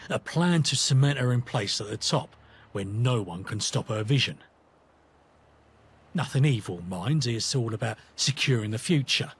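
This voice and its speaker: An actor playing a conflicted, earnest British rebel in a video game, talking in a matey, reflective, colloquial way. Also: male voice, mid-range voice, animated, moderate pace, a little hiss or hum, close to an everyday voice. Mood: confiding, serious. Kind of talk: scripted video game companion dialogue.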